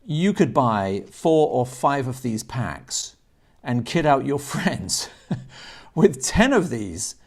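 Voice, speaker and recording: A man's voice: mid-range voice, big pitch swings, slow. Older man chatting through a product review. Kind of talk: casual unboxing and review talk to camera. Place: indoors, garage-like room.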